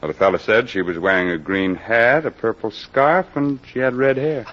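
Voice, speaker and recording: An actor playing a brisk, skeptical police captain questioning people in an old-time radio detective drama. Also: male voice, fairly low pitch, fairly bright tone, natural voice. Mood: wry, musing. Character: brisk, skeptical male police captain running a murder investigation. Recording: dull, band-limited old radio recording.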